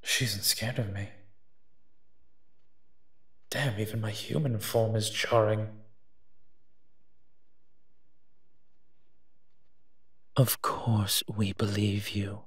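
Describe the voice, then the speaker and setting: A man playing a teasing, protective monster detective in human form, gentle and husky, in an intimate audio roleplay. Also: lowish voice, little pitch movement, no echo, clean studio sound. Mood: gently reassuring. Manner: slow, teasing, tender endearments.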